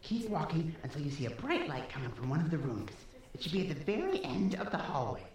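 A woman acts as a persuasive kid in an audio drama, with a lowish voice that seems older than childhood. The intonation is animated and insistent, coaxing the listener along. The space around it is noticeably echoey. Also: quick pace. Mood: guiding. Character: persuasive, impatient kid trapped and scheming. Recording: roomy, echoing sound.

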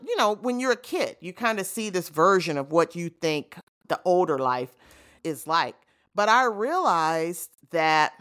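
A woman in her fifties hosting a podcast, talking reflectively and telling stories with easy asides. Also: low voice. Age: 50s. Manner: warm, reflective storytelling with frequent "you know" asides. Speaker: podcast host. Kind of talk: reflective solo monologue.

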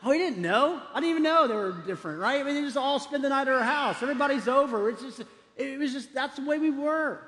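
A man's voice: very high voice, animated, fast talker, few pauses, a bit bright. A middle-aged man from Texas preaching a sermon as a pastor, emphatic and exhortative, repeating phrases for weight. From Texas, United States. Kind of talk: sermon to a congregation. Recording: long echoing hall reverb.

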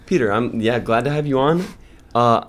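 A man's voice: mid-range voice, normal speed. A young man co-hosting a casual podcast, telling stories in a rambling way with many fillers. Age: young adult. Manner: rambling storyteller, filler-heavy. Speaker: podcast co-host. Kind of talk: casual chat.

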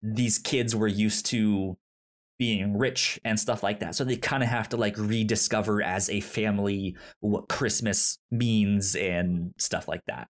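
A man co-hosting a casual podcast chat, pitching movies with many stammered restarts and fillers. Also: steady tone, measured pace. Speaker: podcast co-host.

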